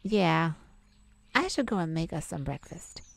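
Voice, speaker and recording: A woman voicing a sweet, caring boyfriend in an intimate ASMR roleplay, tender, gently teasing and coaxing. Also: lowish voice, big pitch swings, unhurried, creaky, heavily acted. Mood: affectionate, teasing. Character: sweet, caring young boyfriend comforting his partner.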